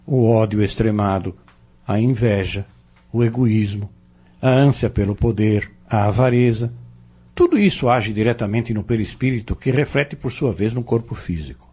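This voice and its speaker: Actor as a husky, deep-voiced Brazilian Spiritist teacher. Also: male voice, dark tone. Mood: earnest, instructive. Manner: didactic, emphatic, measured phrasing. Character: patient, earnest male Spiritist teacher explaining doctrine. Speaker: Spiritist instructor in an audio drama. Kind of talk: didactic audio-novel dialogue. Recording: dull, lo-fi audio.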